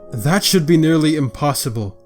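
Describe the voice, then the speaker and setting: A man's voice: a little high, expressive, normal speed, a little mellow, faint music behind, close to an everyday voice. A man playing a stern vampire father and leader in a scripted roleplay, speaking formally and with authority. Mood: grave, measured.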